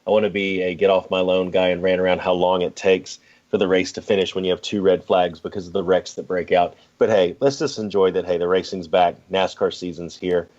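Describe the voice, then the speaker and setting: Through slightly muffled, lo-fi audio, a man with a deep voice chats about sports as a podcast co-host. His delivery is casual and easygoing, with some hesitation as he goes. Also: level tone, brisk, hardly any pauses, darkish tone. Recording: dull, lo-fi audio.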